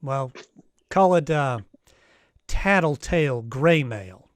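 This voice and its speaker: A man's voice: medium pitch, expressive, slow pace, no echo. A man playing an unflappable, wisecracking private detective who also narrates in a first-person noir radio style. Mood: wry, unflappable. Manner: dry, wisecracking.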